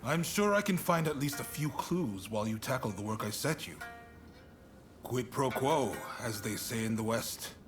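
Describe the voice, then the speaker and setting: Male statesman, acted, authoritative, formal, measured, shrewd, courteous, mid pitch, game cutscene, faint music. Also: lively intonation, close to an everyday voice. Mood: composed authority. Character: composed, commanding shogunate statesman, shrewd and courteous. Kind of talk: video game cutscene acting.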